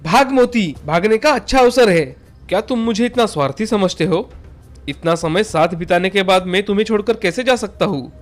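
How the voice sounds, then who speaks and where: Guy doing a talking ox for a kids story, earnest n plaintive, kinda high voice, soft music behind. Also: normal speed, natural voice. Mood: earnest, urgent. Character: earnest, suffering talking male bullock, loyal to his companion. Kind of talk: dramatized children's moral story.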